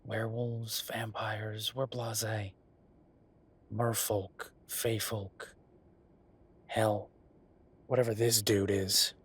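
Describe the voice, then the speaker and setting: A man's voice: fairly low pitch, little pitch movement, takes it slowly, pauses often, a little hiss or hum. A man playing an affectionate, teasing werewolf bureaucrat in an intimate romantic audio roleplay, speaking conversationally with murmured asides. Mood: earnest, musing. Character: Viktor, affectionate teasing male werewolf bureaucrat, weary but playful.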